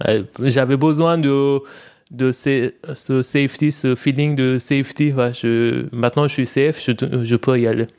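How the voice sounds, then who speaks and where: A man from Iran speaks French slowly, as a guest telling his own story. His voice is smooth and clear at a middle pitch, and he hesitates often, restarting phrases and reaching for English words. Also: fairly even intonation, darkish tone. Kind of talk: personal testimony in conversation.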